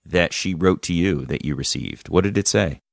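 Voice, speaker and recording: A man's voice: low voice, moderate pace, creaky, no echo, studio quality. A man hosting a podcast, moving between scripted narration and conversational interviewing. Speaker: podcast host.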